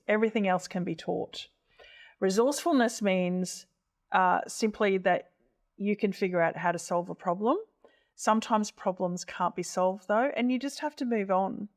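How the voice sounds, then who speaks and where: A middle-aged woman, a podcast guest who plans events, speaking in a measured, emphatic way as she gives advice. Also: medium pitch. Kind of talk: conversational podcast interview.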